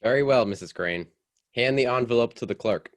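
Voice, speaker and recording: A man's voice: mid-range voice, brisk, a little hiss or hum, close to an everyday voice. A man playing a stern, impatient judge in a radio play, with a formal, commanding manner.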